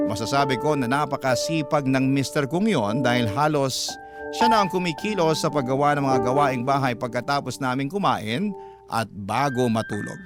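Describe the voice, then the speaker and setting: A Filipino man narrates a heartfelt letter for radio. His voice is mid-pitched and measured, with a sentimental storytelling delivery, and music plays clearly behind him. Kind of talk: dramatic scripted narration. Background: background music.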